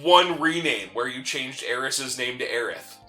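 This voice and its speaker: A man's voice: medium pitch, lively intonation, unhurried, pushed and bright, soft background music. A man co-hosting a gaming show, chatting casually and arguing in a teasing way as he comments on the game.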